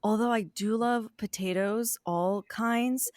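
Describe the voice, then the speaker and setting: A woman's voice: a little high, takes it slowly, darkish tone, no room sound. A woman chatting casually as she answers questions in an interview. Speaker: podcast cast or crew member.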